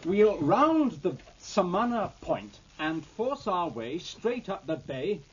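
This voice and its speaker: An actor playing a stiff, secretive British first lieutenant with a formal, clipped delivery in a 1950s radio drama. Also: male voice, sits high, very animated, takes it slowly, heavily acted. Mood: resolute. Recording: dull, noisy old radio recording.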